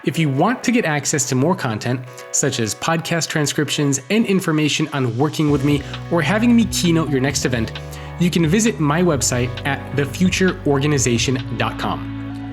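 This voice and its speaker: Male podcast host, lively, fairly high-pitched, music bed under intro. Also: moderate pace. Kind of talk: scripted podcast intro and interview.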